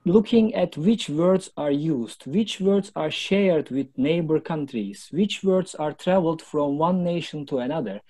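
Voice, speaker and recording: A man with a Turkish accent delivers a prepared talk to a club audience. His voice is mellow and dark, and he pushes key words louder as he goes. He sounds like he is in his thirties. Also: on the high side, animated, unhurried, hardly any pauses. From Turkey. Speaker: club speaker. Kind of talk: prepared public speech.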